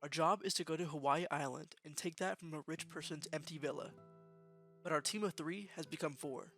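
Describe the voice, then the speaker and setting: A man playing a matter-of-fact young student gofer in a gang, narrating in the first person in a deliberate, slangy way for an anime-style fan dub. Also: on the high side, creaky, a bit bright. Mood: ominous, reflective. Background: music with guitar.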